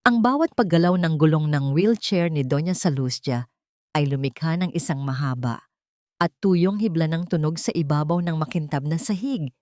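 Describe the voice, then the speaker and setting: A woman narrator with a slightly low voice reads a scripted melodrama. The recording is dry and close, with little room sound. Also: a little mellow, dry recording. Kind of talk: scripted dramatic story narration.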